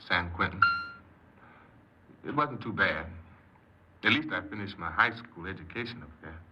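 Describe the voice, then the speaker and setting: A man's voice: low-pitched, lively intonation, moderate pace, breathy edge. An actor playing a bitter, downcast young American ex-convict recounting his life plainly in a 1950s radio drama. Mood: resigned. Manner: plain-spoken, clipped, glum. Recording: noisy, muffled 1950s radio recording.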